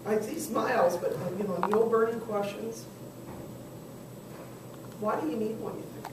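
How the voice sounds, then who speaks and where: A woman teaching a small business class, hoarse from losing her voice, chatting and tossing questions to the room. She is heard from far off in a very echoey classroom with clear background noise. Her voice sits at an ordinary pitch. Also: a little slow. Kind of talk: classroom workshop instruction. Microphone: far camera.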